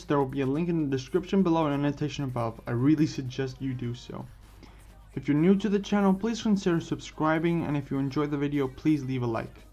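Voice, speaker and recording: A man's voice: a little high, darkish tone. A man hosting and narrating a scripted fan story for an online video, stumbling over and repeating words as he reads. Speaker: host and narrator of a what-if story series. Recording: muffled lo-fi voice over background music. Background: music.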